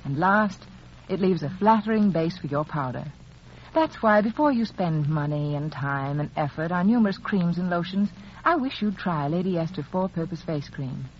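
A woman's voice: lowish voice, animated, moderate pace, muffled recording. A woman announcer reading scripted 1940s radio advertising in a persuasive, carefully phrased storytelling manner. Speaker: commercial announcer for a face cream. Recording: band-limited old radio recording, faint noise.

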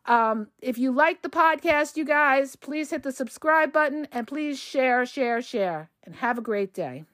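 A woman relationship coach, hoarse from a cold, giving direct, emphatic advice in a casual podcast monologue and often checking in with the listener. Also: sits high, animated, measured pace, fairly bright tone.

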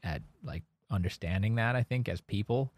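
A man chatting casually, thinking aloud with halting, hedged phrases. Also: lowish voice, dry recording. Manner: halting, hedging with fillers. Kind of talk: casual chat.

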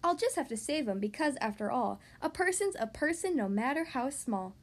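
A big-hearted storybook elephant, voiced by a woman, earnest and kindly. The voice is high and very animated, with a protective, pleading manner. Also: normal speed. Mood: curious, concerned.

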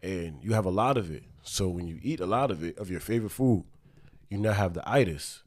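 A man with a fairly low, smooth voice chats casually on a podcast. He is easygoing and full of jokes, and he drifts into loose stories. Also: expressive, brisk. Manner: laid-back, joking storyteller. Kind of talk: casual chat.

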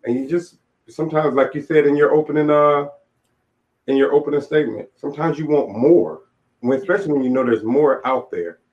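A man's voice: fairly high pitch, fairly quick. A man in his late forties giving an interview, telling his life story in a candid, reflective, plain-spoken way. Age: late 40s. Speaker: interview guest, author. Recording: dull, lo-fi audio.

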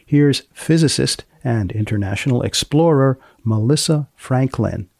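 A man hosting a podcast, mixing scripted narration with interview banter in a dry, deadpan manner. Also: mid-range voice, a little slow, vocal fry, no echo. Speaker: podcast host.

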